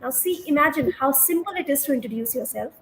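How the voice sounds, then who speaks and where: A woman with a high, light voice, speaking over a video call. She talks earnestly about teaching children and acts out what a teacher says in class. A faint hiss of noise sits under her voice. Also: animated, fast, a bit bright, rough recording. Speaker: education speaker, English teacher. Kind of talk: live online interview, explaining to host.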